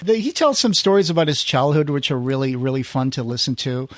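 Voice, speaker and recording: A man hosting a podcast in a casual conversational way, halting and rephrasing as he thinks aloud. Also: medium pitch, lively intonation, dry recording, studio quality. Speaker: podcast host.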